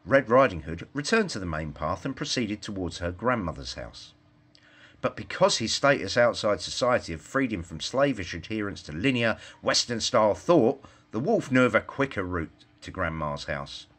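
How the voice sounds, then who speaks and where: A man reading a scripted satirical fairytale as storyteller, in an expressive storybook manner. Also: lowish voice, normal speed, husky.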